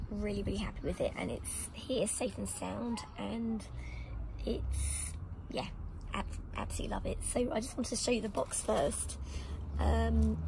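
A British woman whose voice is nearly gone, rasping and breaking into whispers as she chats casually about a purchase. Her slightly high, gravelly voice comes through a phone recording made outdoors, with clear background noise. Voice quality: breathy, often whispering. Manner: chatty, rambling with many ums. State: lost her voice, hoarse and whispery. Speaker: motorbike vlogger. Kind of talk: casual product unboxing. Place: outdoor garden table.

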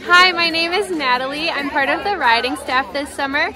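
A woman's voice: high-pitched, moderate pace, a crowd in the background, low-quality recording. A young woman from Illinois giving a friendly self-introduction outdoors. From Chicago area. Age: young adult. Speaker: riding staff. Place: outdoors on a grassy field.

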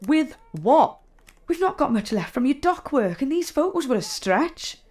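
An actress playing a practical, worried young mother, brisk and plain-spoken, in an audio drama. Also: high-pitched, very animated, a little mellow.

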